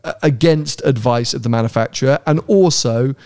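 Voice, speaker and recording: A middle-aged man co-hosting a relaxed podcast. He rambles in a chatty way, and his voice leaps higher when he gets excited. He talks into a handheld mic in a hotel room by a big window. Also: moderate pace, smooth. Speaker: podcast co-host. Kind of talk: casual chat. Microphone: handheld mic with headphones.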